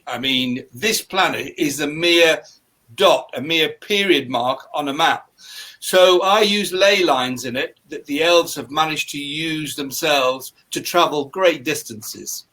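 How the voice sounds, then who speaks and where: A man speaks on an online panel over a video call. His voice is slightly high for a man, and he explains ideas in a relaxed, chatty way. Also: slow pace. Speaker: panelist and fantasy author. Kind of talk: casual panel talk.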